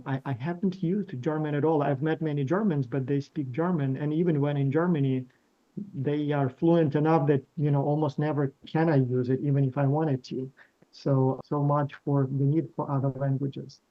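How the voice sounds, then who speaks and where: A man with a Ukrainian accent, a professor and founder, talking casually and quickly with many fillers on a video call. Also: a little high, fairly even intonation. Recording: slightly dull lo-fi call audio.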